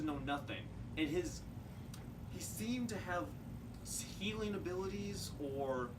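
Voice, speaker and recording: Man with a sore throat, halting, many restarts, recorded from afar, noisy background. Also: fairly high pitch, recorded from a distance. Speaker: co-host. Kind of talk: casual chat.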